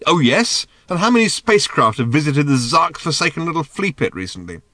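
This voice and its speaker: A brash alien man played by a male reader in a comic sci-fi audiobook, vain and self-important. He is snappy and insistent, with lively swings of pitch. The recording is dry and close. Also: fairly quick, no echo. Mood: snappy impatience. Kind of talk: dramatized audiobook of comic science fiction.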